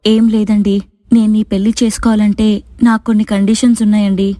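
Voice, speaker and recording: A woman voices a reserved young wife who guards a secret in a fairy tale. She explains earnestly in a mellow, fairly even voice, recorded dry. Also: mid-range voice, a little fast, dry recording, close to an everyday voice. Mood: firm.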